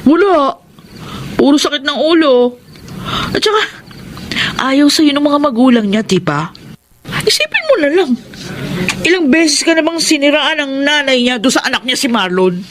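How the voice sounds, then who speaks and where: A woman's voice: high-pitched, sing-song, moderate pace, long pauses, background noise. A woman playing a frank, protective older sister in a Filipino radio melodrama, gossipy and coaxing, with a Filipino accent. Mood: insistent, admonishing.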